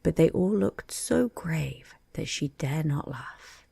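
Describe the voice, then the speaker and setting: A woman's voice: sits low, animated, slow pace, gravelly, dark tone, slightly rough, no echo. A woman narrating a children's bedtime story as storyteller.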